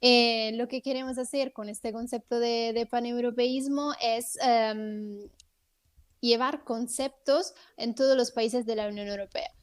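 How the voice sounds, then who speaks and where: Woman, Italian accent, native Italian, fairly high voice, explanatory, hesitant fillers. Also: fairly even intonation, a little slow, clean tone. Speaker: guest politician. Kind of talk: interview answers.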